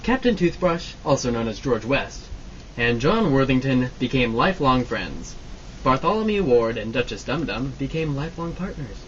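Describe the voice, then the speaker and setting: Animated male radio-play narrator, distant, muffled. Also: mid-range voice, normal speed. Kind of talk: scripted narration, old-time radio drama parody. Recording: distant, muffled, noisy live amateur recording.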